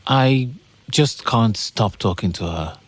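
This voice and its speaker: An actor with a Nigerian accent playing a lovesick, self-doubting young copywriter in a conversational, hesitant audio drama style. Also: male voice, on the low side, expressive, takes it slowly. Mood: defensive, lovesick. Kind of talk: naturalistic audio drama acting.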